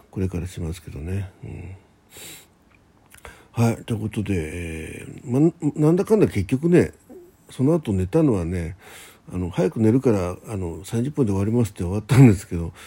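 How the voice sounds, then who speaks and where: A man hosting a casual solo radio chat, talking in a halting, rambling way and often correcting himself. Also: on the low side, creaky, warm tone, breathy edge. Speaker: internet radio host.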